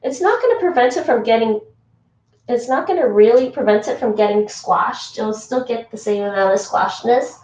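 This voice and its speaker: A woman's voice: fairly high pitch, normal speed, a little mellow, recorded from a distance, a little hiss or hum. A woman from Toronto, Canada, chatting casually with her stream chat while cooking in a home kitchen, laughing often. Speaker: livestreamer. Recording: echoey room, voice off-mic.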